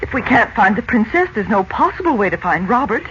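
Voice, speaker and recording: A woman's voice: a little high, sing-song, fairly quick, fairly bright tone. An actress playing an anxious, earnest middle-aged mother in a 1940s radio serial, with a worried, dramatic delivery. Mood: despairing. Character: anxious, despairing, gently scolding mother. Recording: muffled, noisy late-1940s radio recording.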